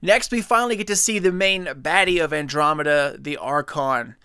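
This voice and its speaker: A young man casually breaking down a video game trailer for his viewers, chatty and excited. His voice is bright, smooth and slightly high. The close mic sounds dry, with no room behind him. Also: moderate pace, no room sound. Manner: enthusiastic, chatty, speculative. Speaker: gaming channel host.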